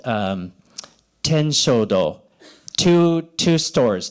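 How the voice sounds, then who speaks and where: Older man, halting informal speech. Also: mid-range voice, clear, resonant tone. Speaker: event organizer giving thanks at an awards ceremony.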